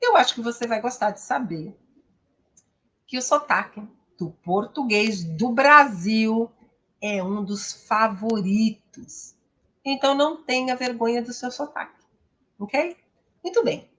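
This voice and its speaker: A woman's voice: on the high side, lively intonation, unhurried, long pauses, fairly bright tone. A woman with a Brazilian accent speaking as an English teacher and host, giving an instructional, promotional talk in a didactic, emphatic manner with frequent tag questions.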